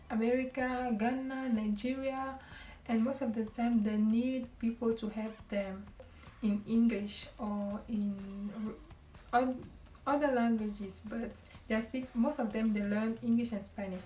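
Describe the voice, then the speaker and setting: A woman's voice: a little high, a little mellow. A young Cameroonian woman chatting to camera and giving tips, stopping often for an um and starting lines over. She sits a little back from the mic in a room with plenty of echo. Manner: halting, many ums and restarts. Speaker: vlogger. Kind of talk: casual advice talk to camera. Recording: echoey room, voice a bit far from the mic.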